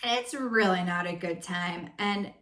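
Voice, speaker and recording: A woman's voice: on the low side, measured pace, a bit bright. Chatty woman giving advice in a roomy space. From Greek family background. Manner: chatty and candid, with frequent fillers. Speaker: life and relationship coach. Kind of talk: casual advice talk to camera. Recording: roomy echo.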